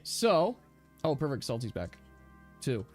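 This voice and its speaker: A man acting as game master, casually narrating a tabletop game in a halting, thinking-aloud manner. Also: medium pitch, sing-song, darkish tone. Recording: dry close mic with music behind. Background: music.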